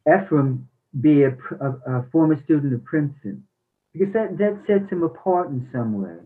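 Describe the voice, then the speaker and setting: Tinny phone-like audio, guy w/ raspy higher voice giving careful notes, bit hesitant. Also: lively intonation, normal speed. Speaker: workshop participant giving critique. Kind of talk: measured critical feedback.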